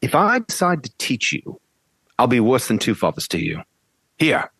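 A woman's voice: a bass voice, huge pitch swings, moderate pace, fairly bright tone, husky, no echo, heavily acted. A woman playing an arrogant, imperious male phonetics professor in an amateur play reading. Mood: commanding. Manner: imperious, brusque, theatrical.

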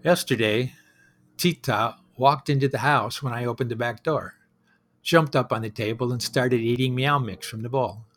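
A man with a middle-pitched voice delivers a personal storytelling monologue as the host of his own show. He talks conversationally and reflectively, with a wry edge to his phrasing. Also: moderate pace, a bit bright.

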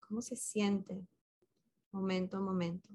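A woman leading a guided meditation as a facilitator, speaking gently and unhurriedly with pauses between short instructions. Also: mid-range voice, flat delivery.

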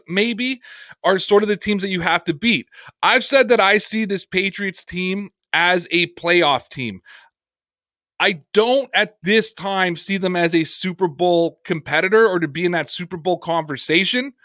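A man's voice: high voice, a bit bright, dry recording, clean studio sound. A man hosting a sports podcast, answering viewer comments in an earnest, conversational way.

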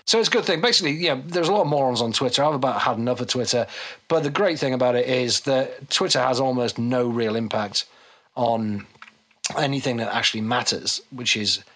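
A man's voice: mid-range voice, a little fast, a bit bright. A man speaking as a podcast guest, casual and blunt, giving his opinions in conversation. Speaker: podcast guest, policy economist and author.

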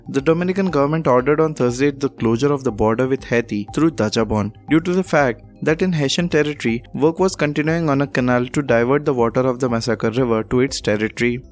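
A man with an Indian accent reading scripted news as a presenter in a formal, measured manner. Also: mid-range voice.

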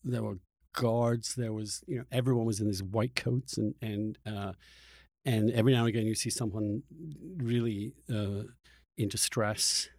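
A man's voice: lowish voice, steady tone, normal speed, a little mellow, no room sound, studio quality. A man hosting a reflective conversational interview, searching for words and often stammering over the starts of them. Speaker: podcast host and interviewer.

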